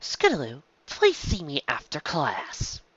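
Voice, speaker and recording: A woman's voice: low-pitched, wildly swooping pitch, fairly bright tone, husky, no room sound, highs cut off, exaggerated voice. Woman as a patient, lilting pony schoolteacher. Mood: gently stern. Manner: measured, instructive, questioning. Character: patient adult female pony schoolteacher, gently stern.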